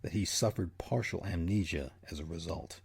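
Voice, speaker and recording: A man with a husky voice delivering scripted documentary narration as the host. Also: sits low, moderate pace, loud music behind the voice.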